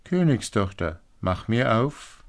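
A man's voice: fairly low pitch, lively intonation, a little slow. A man voicing an old frog in a fairy tale, with an insistent, bargaining manner. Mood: insistent calling.

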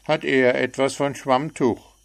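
A man's voice: medium pitch, level tone, unhurried, creaky, voice pushed up. A man voicing a cheeky little bird who teases with sarcastic remarks, in a storybook reading. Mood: teasing. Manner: teasing, sarcastic.

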